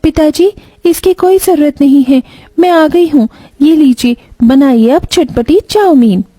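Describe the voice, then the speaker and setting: Woman playing a high-voiced, dutiful young bride. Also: unhurried, warm tone, dry recording. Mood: caring. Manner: respectful, eager to help. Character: dutiful, sweet young village bride and daughter.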